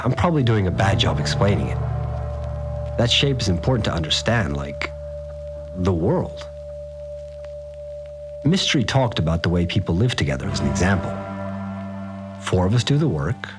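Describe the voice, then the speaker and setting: An actor playing an awed, rough-spoken working man who recounts strange events in a slangy, rambling audio diary for a fiction podcast. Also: low voice. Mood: earnest, unsure. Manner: slangy, rambling, unsure of his words. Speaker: fixer, a manual worker.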